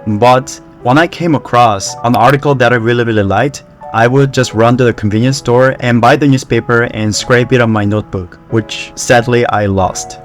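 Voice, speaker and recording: A young man from Korea with a Korean accent, talking to camera in a relaxed, conversational way about how he learned a language. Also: mid-range voice. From South Korea. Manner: relaxed, conversational, with fillers like "you know" and "like". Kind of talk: casual talk to camera.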